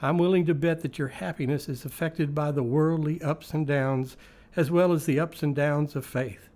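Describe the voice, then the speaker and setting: Devotional reader, male, pitched a little high. Reflective scripted delivery that poses questions to the listener. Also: fairly even intonation, moderate pace, a little mellow. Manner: reflective, posing rhetorical questions.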